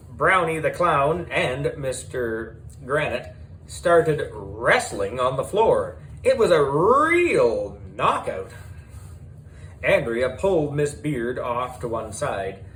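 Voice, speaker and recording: A man narrating a children's audiobook in an excitable, playful storytelling manner. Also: on the high side, a little hiss or hum, rough recording.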